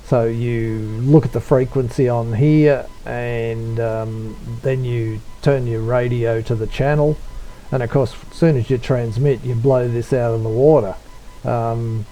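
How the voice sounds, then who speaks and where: A man giving an unscripted software tutorial, talking in a low-key, hesitant way as if thinking aloud. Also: fairly even intonation, unhurried, few pauses, faint music behind, low-quality recording. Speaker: tutorial presenter, radio hobbyist.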